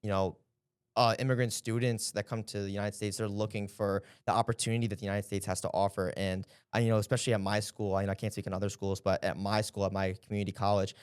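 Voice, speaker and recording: Young male guest, low smooth voice, earnest and halting, dry close mic. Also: flat delivery, dry recording. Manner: earnest, hesitant, many fillers. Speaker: interview guest, former intern. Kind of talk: casual interview answers.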